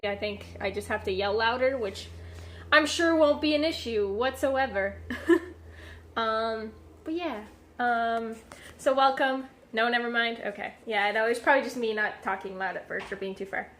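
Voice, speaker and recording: Casual stream host, female, fairly high voice, congested and a touch nasal, rambling chatter. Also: a bit bright. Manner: chatty and rambling, many fillers and self-corrections. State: stuffy, slightly nasal from a cold. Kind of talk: casual live-stream chat while cooking. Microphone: low-set streaming mic.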